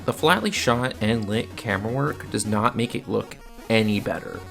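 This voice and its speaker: A man's voice: fairly low pitch, moderate pace, creaky, fairly bright tone, soft background music. A man narrating a scripted video review with casual asides, in a blunt, exasperated manner.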